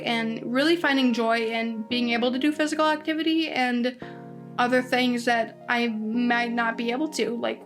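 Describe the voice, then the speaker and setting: A woman in her twenties hosting a podcast alone, talking casually and rambling with lots of "like"s and restarts. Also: on the high side. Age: 20s. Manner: chatty, rambling, many "like"s and restarts. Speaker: podcast host. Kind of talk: casual solo podcast monologue. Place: indoors at home.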